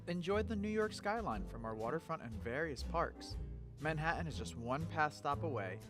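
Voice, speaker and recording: Upbeat male ad announcer over music. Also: medium pitch. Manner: upbeat salesy listing. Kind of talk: scripted real estate ad read. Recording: ad with loud music bed.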